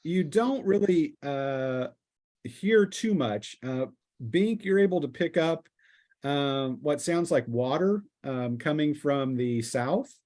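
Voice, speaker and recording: A man narrating a tabletop roleplaying game as game master, improvising in a halting way with pauses mid-sentence. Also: a little high, animated, darkish tone.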